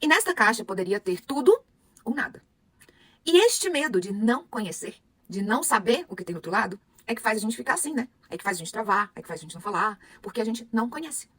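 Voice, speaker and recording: Brazilian woman, young adult, language teacher, fast and animated, dry close sound. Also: fairly high pitch, breathy edge, no room sound. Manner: animated, rhetorical questions to the audience. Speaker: Spanish teacher. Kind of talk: motivational lesson to followers.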